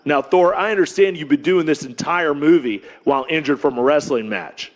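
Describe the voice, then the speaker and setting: An actor playing a slangy, enthusiastic 1980s TV interviewer in an audio drama. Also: male voice, on the high side, moderate pace, highs cut off, close to an everyday voice. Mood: gushing.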